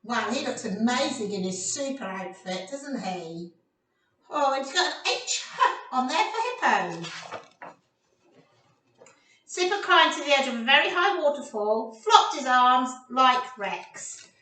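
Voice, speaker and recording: A woman leads an interactive storytime for little children with animated questions. Her voice is bright, high and slow-paced. It sounds echoey, as if she is a little way from the microphone. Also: a little hiss or hum. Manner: animated, questioning, asks and answers for little listeners. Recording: roomy echo, distant microphone.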